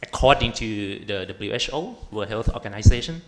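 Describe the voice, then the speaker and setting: A man's voice: fairly low pitch, lively intonation, moderate pace. A man with a Thai accent, a researcher, giving a panel presentation in a hesitant way with many fillers.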